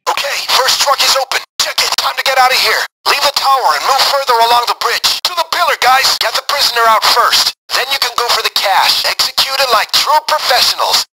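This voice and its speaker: An actor playing a brisk, commanding man who plans heists, giving clipped mission orders over the radio in a video game. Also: very high voice, sing-song, sharp tone, soft background music, heavily acted, low-quality recording. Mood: urgent, commanding. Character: brisk, commanding male heist planner directing a gang.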